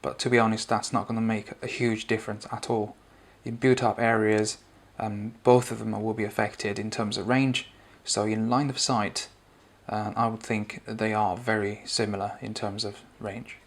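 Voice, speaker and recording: A man's voice: on the low side, level tone, moderate pace. A man giving a conversational, explanatory spoken product review and demonstration.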